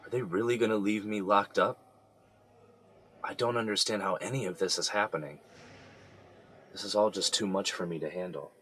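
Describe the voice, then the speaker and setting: An actor voicing a despairing, wrongly jailed young man in an audio drama. He speaks quietly and pleadingly in a very deep voice. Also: normal speed, long pauses, a little hiss or hum, close to an everyday voice. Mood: distraught.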